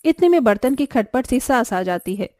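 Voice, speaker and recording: A woman's voice: fairly high pitch, lively intonation, moderate pace, a little mellow, no echo, studio quality. A woman reading scripted narration for a cartoon family story.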